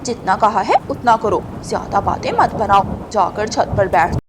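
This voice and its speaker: A woman's voice: medium pitch, lively intonation, unhurried, noisy background, lightly acted. A woman voicing a haughty, mocking crow wife in a cartoon, with a sneering, scolding manner. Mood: bossy.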